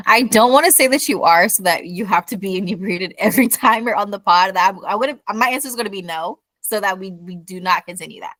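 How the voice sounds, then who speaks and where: An easygoing young woman co-hosts a casual podcast, laughing often and swearing freely. Her pitch sits in the middle range. The recording is dry and close. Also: brisk, fairly bright tone, no room sound. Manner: laughs easily, casual and profane. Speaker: podcast co-host. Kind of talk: casual chat.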